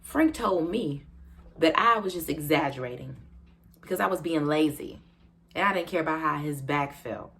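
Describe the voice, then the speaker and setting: Woman acting aggrieved American wife, low-voiced, self-justifying. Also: animated, normal speed, a bit bright. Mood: aggrieved, emphatic. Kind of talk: comedic stage monologue.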